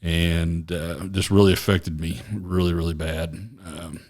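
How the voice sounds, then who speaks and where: A man from Oklahoma with a very low voice tells his story as a podcast guest. He talks in a halting, rambling way, full of fillers and restarts. The talk is recorded in a small office studio. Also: level tone, darkish tone. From Oklahoma, USA. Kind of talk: casual interview storytelling.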